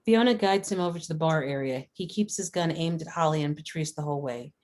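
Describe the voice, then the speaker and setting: Female narrator for script stage directions, deep register, scripted narration. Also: fast talker, a little mellow, a little hiss or hum.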